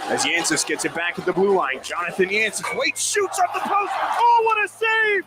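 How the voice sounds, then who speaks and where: A man giving excited live hockey play-by-play commentary. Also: sits high, normal speed. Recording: clear background arena noise.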